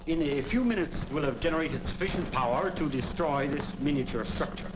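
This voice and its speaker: An actor playing a courteous, earnest inventor explaining formally in a 1940s serial. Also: male voice, on the high side, fairly quick, heavy background noise, lightly acted. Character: courteous, earnest inventor demonstrating his secret machine. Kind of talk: 1940s film serial acting. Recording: dull lo-fi 1940s film soundtrack.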